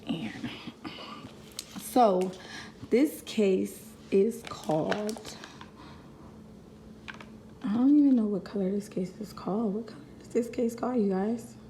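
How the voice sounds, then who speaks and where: A woman's voice: medium pitch, takes it slowly, pauses often, dark tone, slight background noise. A young woman hosting a casual unboxing review, chatty and talking to viewers as "you guys", getting over a cold. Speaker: vlogger host. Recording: echoey room.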